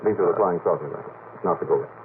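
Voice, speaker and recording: A man's voice: low-pitched, fast talker. A man in a radio panel discussion, arguing in a sardonic, contrarian way with hesitant starts. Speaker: panel guest, sceptical debater. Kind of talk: argumentative radio panel talk. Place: radio studio. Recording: noisy, phone-like old 1950s radio recording.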